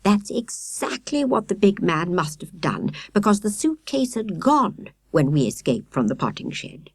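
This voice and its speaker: A woman voicing an earnest, sharp, suspicious British child in a children's storybook, eager and insistent. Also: mid-range voice, sing-song, unhurried, slightly rough, no echo, clean studio sound, voice pushed up, full character voice. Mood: deducing. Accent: British English.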